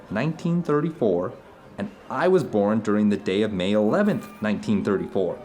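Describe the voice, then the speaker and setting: A man's voice: mid-range voice, a little mellow, close to an everyday voice. An actor playing an introspective man who tells uncanny personal anecdotes to an old friend in an audio drama, rambling, earnest and emphatic. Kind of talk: audio drama dialogue, conversational monologue.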